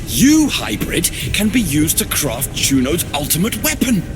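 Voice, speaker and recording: An actor playing a coldly scheming villain scientist in a video game, with a menacing, relishing delivery. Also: male voice, fairly high pitch, huge pitch swings, measured pace. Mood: gloating, menacing. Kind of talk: dramatic video game acting. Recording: music behind the voice.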